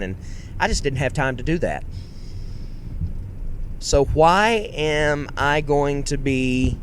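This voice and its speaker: A man hosting a casual solo podcast, talking in a rambling, self-correcting way and projecting his voice. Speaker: podcast host. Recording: faint road rumble underneath. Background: road noise.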